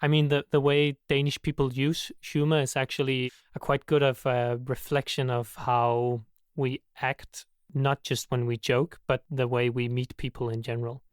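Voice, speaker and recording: Smooth-voiced male researcher, measured. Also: mid-range voice, little pitch movement, no echo, studio quality. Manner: measured, reflective. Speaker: researcher guest. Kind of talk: casual studio chat.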